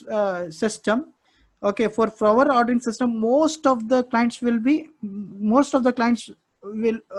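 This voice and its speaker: High-voiced Indian English male instructor. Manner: checks in often with "okay" and "understood?", repeats phrases. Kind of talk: live technical class walkthrough.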